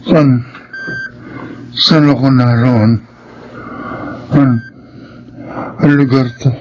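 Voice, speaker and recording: Dazed Filipino patient, slow and halting. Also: male voice, medium pitch, steady tone, darkish tone, dull audio, a little hiss or hum. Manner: halting, stammering, anxious. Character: dazed injured young man waking in hospital. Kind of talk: Filipino radio drama acting.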